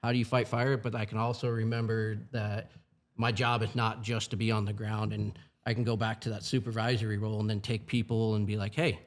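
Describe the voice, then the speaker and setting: A man in his forties with a low voice talks earnestly about his work as a fire supervisor. His speech is plain and full of asides. He sounds like a casual podcast guest. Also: little pitch movement, fairly quick. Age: 40s. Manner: earnest, plain-spoken, many "you know" asides. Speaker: firefighting supervisor.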